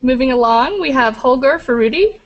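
A woman, co-hosting a webcam panel and introducing guests in a brisk, upbeat way. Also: high voice, animated, dull audio. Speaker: co-host. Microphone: webcam video call.

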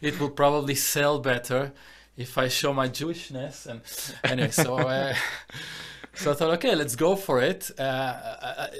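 A man from Argentina who grew up in Israel, a composer talking in a casual video-call interview, reflective and halting with many restarts. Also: mid-range voice. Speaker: composer and musician, guest. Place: home room, indoors.

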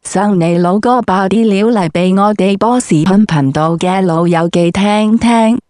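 Woman, brash and profane comic interviewer, mid pitch, dry recording. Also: measured pace, a little mellow, dry recording. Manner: foul-mouthed, brash. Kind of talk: crude comic interview, scripted.

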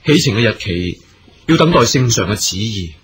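A man's voice: fairly low pitch, sing-song, moderate pace. A man acting a courteous, earnest military commander in a period radio drama, speaking formally in Hong Kong Cantonese. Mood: composed. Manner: formal, stately, gracious.

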